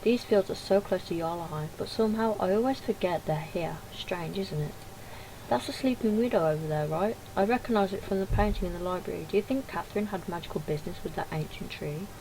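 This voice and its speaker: Lower-voiced woman reading over noticeable noise. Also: fairly quick, dark tone. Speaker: gaming video creator. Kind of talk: reading game dialogue aloud with casual commentary. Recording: clearly noisy home recording.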